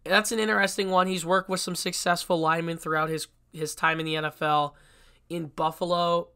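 A man's voice: fairly high pitch, little pitch movement, a little fast, sharp tone, clean tone. A young man with a stuffy, congested voice from a cold, hosting a casual solo sports podcast and talking bluntly with frequent restarts. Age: young adult. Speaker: podcast host.

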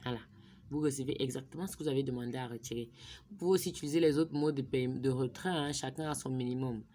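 Low-voiced woman narrating a screen tutorial. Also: fast, mellow. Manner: thinks aloud while typing numbers, drops to a murmur. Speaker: vlogger.

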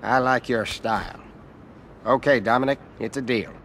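A man's voice: medium pitch, steady tone, normal speed, a bit bright, a little hiss or hum, close to an everyday voice. A man with an American accent playing an arrogant, sly middle-aged senator in a video game cutscene, blunt and condescending. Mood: pleased, sly. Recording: game audio with background ambience.

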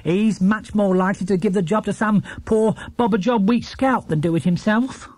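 A man's voice: high voice, moderate pace, a little mellow, dry recording, pitched above the performer's own voice. A man voicing a stern, indignant older English housekeeper woman in a children's storybook. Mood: scornful indignation. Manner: tart, indignant.

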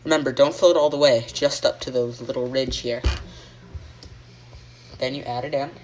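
A teenage boy hosting a joking homemade cooking show in a home kitchen, rambling deadpan through mock instructions with plenty of ums, filmed on a phone by a friend. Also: medium pitch, normal speed, faint music behind. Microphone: phone camera held by a friend. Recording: noisy home phone video.

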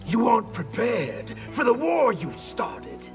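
An actor voicing a grim, threatening warrior in a video game, solemn and menacing. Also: male voice, very high-pitched, huge pitch swings, takes it slowly, soft background music, full character voice. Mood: grim threat. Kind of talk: video game fight taunts.

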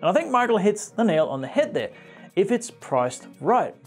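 Guy in his 40s hosting tech news, speaking english, really lively pitch, bit of wry humour, music under it. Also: moderate pace. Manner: animated, playfully wry. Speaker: host. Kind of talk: scripted tech news presenting to camera. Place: small indoor studio. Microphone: lapel mic.

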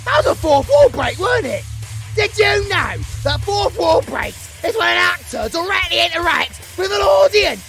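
A British man playing a gleefully unhinged undead vampire-werewolf half-breed, shouting and rambling theatrically in an audio drama. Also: very high-pitched, big pitch swings, normal speed, gravelly, faint music behind, heavily acted. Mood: excited, rambling. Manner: shouting, rambling, cackling, fourth-wall-breaking trivia patter.

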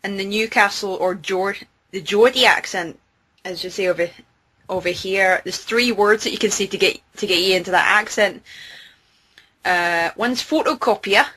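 A woman's voice: mid-range voice, moderate pace, bright, forceful tone, slightly rough. An English-born woman with a Scottish accent, chatting loosely on a voice call with lots of fillers. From England. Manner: chatty, rambling, with many fillers. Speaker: interview guest. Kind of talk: casual chat on a voice call. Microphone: video call.

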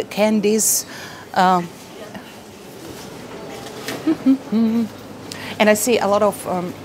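Slow, hesitant Russian woman vlogging. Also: on the high side, a little hiss or hum. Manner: hesitant, many fillers, chatty asides to viewers. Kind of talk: casual travel vlog to camera. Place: busy indoor market hall. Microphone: phone at arm's length.

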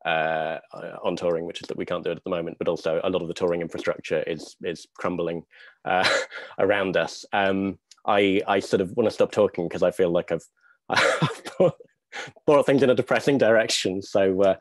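A man in his thirties speaking on a video-call panel, thinking aloud in a hesitant, halting way with searching pauses. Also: low-pitched, steady tone, no room sound. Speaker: theatre maker, panelist. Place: small dim room at home, video call. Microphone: wired earphone mic on video call.

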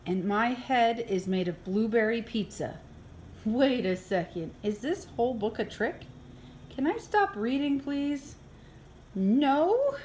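Very animated woman reading a children's book. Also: fairly high pitch, takes it slowly, a little hiss or hum. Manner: expressive, playful, exaggerated reactions. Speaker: early childhood program coordinator reading aloud. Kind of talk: animated children's picture book read-aloud. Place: indoors, filmed over an open book.

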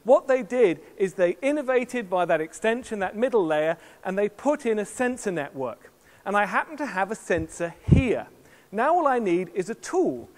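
Middle-aged male keynote presenter, high voice, playful showman, big hall reverb. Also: moderate pace. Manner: lively, jokey showman with sound effects. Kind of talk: live product demo on stage. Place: large conference hall stage.

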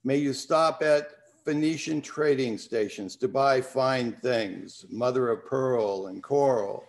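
An older man hosting from his home study over a video call, talking in a reflective way and reading a poem aloud. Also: mid-range voice. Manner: unhurried, reflective. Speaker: host. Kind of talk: casual talk and poetry reading. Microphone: video call webcam.

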